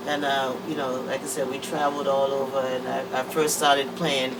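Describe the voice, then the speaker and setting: A woman's voice: very deep voice, level tone, moderate pace. A woman, speaking as an interview guest in easygoing, hesitant casual talk with many fillers, in a music production room. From Trinidad and Tobago. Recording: distant mic with air-conditioning hum.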